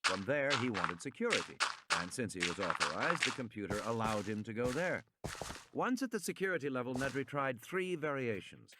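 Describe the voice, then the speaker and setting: Male audiobook narrator over loud gunfire. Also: medium pitch, expressive, fairly quick. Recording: loud gunfire and clicking game noise behind.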